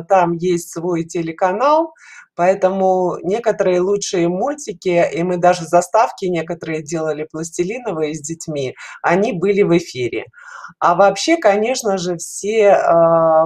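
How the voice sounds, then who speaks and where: A woman's voice: lowish voice, a little mellow. Middle-aged female guest on a video call, chatty, informal and stop-start in delivery. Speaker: guest caller, children's animation studio representative. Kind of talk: casual interview answers. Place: indoors at home, video call. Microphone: headset.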